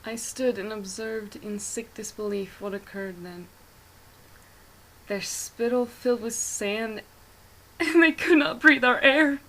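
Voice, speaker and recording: A woman playing a haunted, horrified witness who tells a gothic horror tale in a grave, breathy manner. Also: fairly high pitch, takes it slowly, fairly bright tone, rough recording. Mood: haunted sorrow. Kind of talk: hushed gothic horror monologue.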